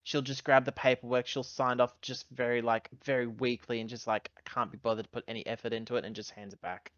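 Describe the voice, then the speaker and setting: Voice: medium pitch, steady tone, a little fast, a bit bright, dry recording, muffled recording. A tabletop game player roleplaying and chatting casually in an assertive manner. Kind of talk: in-character roleplay and casual chat.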